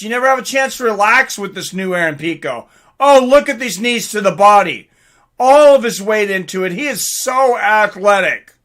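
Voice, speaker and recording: Male live fight commentator, high bright voice, informal and excitable, chatty streamer delivery. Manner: excitable, informal, swearing, reacting aloud. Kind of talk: live fight commentary mixed with casual chat to viewers.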